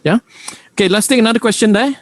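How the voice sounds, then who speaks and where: A man's voice: high-pitched, fast talker, no echo. A man with a Malaysian accent, moderating a press conference informally as a member of parliament.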